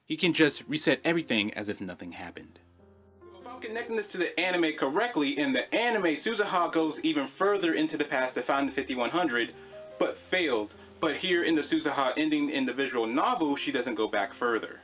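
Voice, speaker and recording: A man's voice: a little high, expressive, a little fast, a bit bright, dull audio, soft background music. A man hosting a video, giving an informal, conversational explainer commentary and repeating phrases for emphasis. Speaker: video host.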